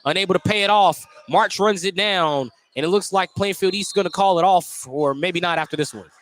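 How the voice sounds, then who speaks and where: A man doing live radio play-by-play of a high school basketball game, describing the action in clipped running phrases. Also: a little fast, a bit bright. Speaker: play-by-play announcer.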